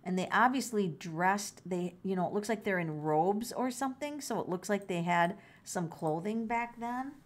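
A woman's voice: mid-range voice, normal speed, few pauses. A middle-aged woman doing a casual tarot reading, musing aloud, exclaiming and repeating her phrases. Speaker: tarot reader.

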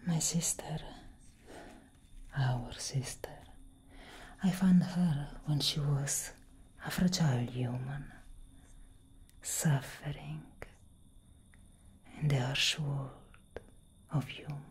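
A young woman playing a brooding, protective elder vampire sister in a soft, breathy, whispered ASMR roleplay. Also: sits low, fairly even intonation, slight background noise. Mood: tender, sorrowful. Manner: breathy, slow, intimate, dramatic.

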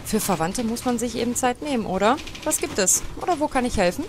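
A woman's voice: fairly high pitch, expressive, fast talker, slight background noise, close to an everyday voice. A woman playing a teasing, helpful cousin in an audio drama, talking in a familiar way. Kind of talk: German audio drama acting.

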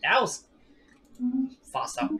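A man's voice: takes it slowly, bright, forceful tone, slight background noise. A man in his thirties casually commentating a strategy game from a gaming chair at home, rambling and laughing at himself. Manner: rambling, chatty, self-deprecating, laughs often. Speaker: gaming streamer. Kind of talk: casual let's play commentary.

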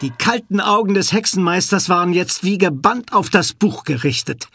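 Creaky-voiced man narrating suspenseful tale. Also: high voice, animated, sharp tone, slight background noise. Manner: measured, suspenseful. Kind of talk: scripted dramatic storytelling.

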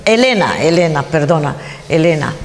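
A woman's voice: sits low, expressive, moderate pace. A woman with a foreign accent co-hosting a TV interview, gushing with compliments and effusive praise for her guests. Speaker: TV show co-host. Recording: echoey hall with long reverb.

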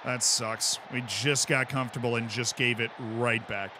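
An American man streaming casually while he plays, explaining things in an animated, rambling way and often checking in with "right?". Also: medium pitch, normal speed, background noise. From United States. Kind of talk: casual chat while playing.

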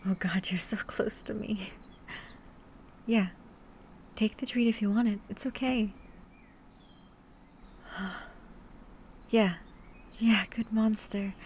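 A woman voicing a gentle, protective monster hunter in a soft-spoken ASMR roleplay, hesitant and coaxing, at times breathy and whispering. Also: on the low side. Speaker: voice actor. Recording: steady ambient noise with birdsong.

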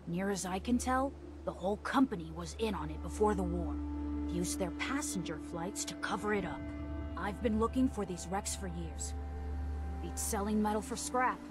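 A curt, guarded smuggler. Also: female voice, on the low side. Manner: curt, businesslike. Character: guarded, tough woman smuggler scavenging wrecks. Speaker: game character. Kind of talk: video game voice acting.

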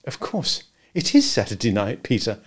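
Prim little-mother girl, read by a man, bossy and tart, lifted pitch. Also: huge pitch swings, a little slow. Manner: bossy, fussing.

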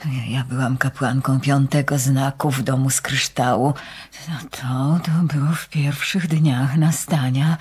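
An actress in a Polish radio play voicing a troubled, dreamy nun who recounts visions haltingly in a breathy, husky voice. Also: female voice, rumbling low, level tone, darkish tone, full character voice. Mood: dreamy, reverent. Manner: halting, hesitant, repeating words.

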